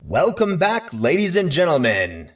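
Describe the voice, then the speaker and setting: A man's voice: on the high side, wildly swooping pitch, unhurried, distant mic, voice pushed up, exaggerated voice. A man voicing a showy master of ceremonies who addresses an audience in a storybook. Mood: announcing. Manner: grand, announcing.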